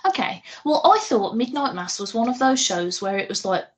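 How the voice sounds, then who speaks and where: A woman's voice: a little high, lively intonation, normal speed. A woman chatting casually as a podcast co-host, giggly and talkative, with a loose, sweary way of talking.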